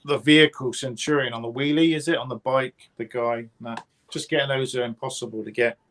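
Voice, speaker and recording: A man's voice: medium pitch, steady tone, fairly quick, a bit bright. A man chatting on a livestream, hesitant, trailing off and often agreeing. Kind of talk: casual chat on a livestream.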